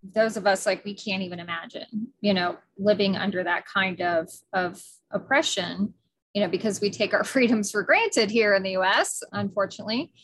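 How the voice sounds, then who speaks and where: A woman's voice: medium pitch, normal speed, a bit bright. A middle-aged woman hosting a podcast interview, enthusiastic and talkative, with frequent fillers and restarts. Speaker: podcast host. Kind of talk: casual interview.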